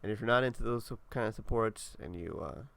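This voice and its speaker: Congested young man with a gravelly voice. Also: on the low side, fast, fairly bright tone, no echo. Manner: laid-back, rambling, many fillers. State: congested, nasal. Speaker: gaming video creator. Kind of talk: casual walkthrough commentary.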